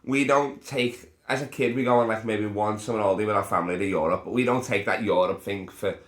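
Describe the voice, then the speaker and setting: A man's voice: fairly low pitch, a little fast. A young man with a Scouse accent hosting a podcast, chatting casually, laughing often and swearing freely. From Liverpool, England. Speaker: podcast host. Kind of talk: casual chat. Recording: echoey room.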